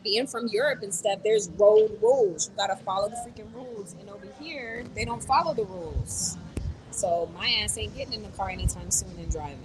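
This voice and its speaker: A woman's voice: medium pitch, a little fast, a crowd in the background. A young American woman chatting casually on a phone live stream, blunt and outspoken, answering her chat. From United States. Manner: chatty and blunt, mimics others in quotes, swears. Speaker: vlogger reading live chat. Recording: noisy with passing vehicles.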